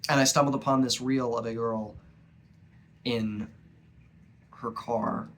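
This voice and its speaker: Young man, stuffy cold-blocked nose, earnest and halting, flat even delivery, faint background hiss. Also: medium pitch. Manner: earnest, halting, with frequent pauses. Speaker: vlogger. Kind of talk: personal reflective monologue.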